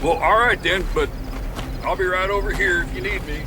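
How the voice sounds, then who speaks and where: A man's voice: high voice, moderate pace, heavy background noise, natural voice. A man playing a folksy, eager farmer in a radio drama, rambling and hesitant in a rural American drawl.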